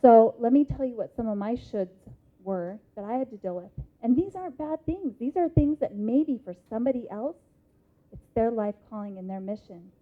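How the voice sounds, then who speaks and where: A woman in her thirties with an American accent gives an interactive motivational talk in a conference hall, speaking emphatically with deliberate pauses. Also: a little high, animated. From Idaho, United States. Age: 30s. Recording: muffled lo-fi live hall recording.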